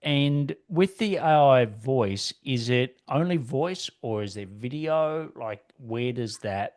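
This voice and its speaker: A man's voice: medium pitch, expressive, slow pace, darkish tone. A man with an Australian accent hosting a business podcast, chatty and rambling, with lots of fillers and restarts. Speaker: podcast host. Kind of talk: casual interview chat.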